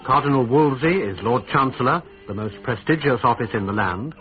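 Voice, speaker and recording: A man's voice: mid-range voice, a little fast, narrow, tinny audio. A man narrating a scripted introduction to a drama. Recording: thin band-limited radio recording. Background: faint music.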